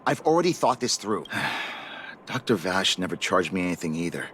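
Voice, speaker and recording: A man's voice: low voice, big pitch swings, moderate pace, fairly bright tone, slight background noise. An actor playing a gloomy, resigned male patient in a video game, with a weary, defeatist manner. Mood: gloomy, defensive.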